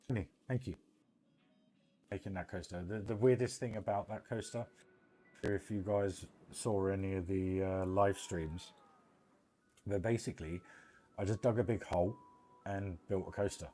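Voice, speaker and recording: A man giving casual, halting, rambling commentary on a gaming livestream. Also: sits low, steady tone, warm tone, soft background music. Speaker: livestream host.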